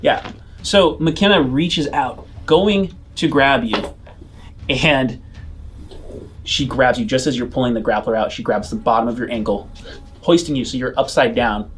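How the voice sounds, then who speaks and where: A man's voice: medium pitch, unhurried, fairly bright tone, slight background noise. A man running a tabletop role-playing game as game master, narrating and explaining in a conversational way.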